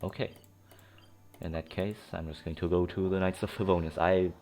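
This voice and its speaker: A man's voice: low-pitched, steady tone, moderate pace, darkish tone. A man hosting a let's play with casual, unscripted, rambling commentary, often repeating himself. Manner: rambling, self-repeating, informal. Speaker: gaming video host. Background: game music.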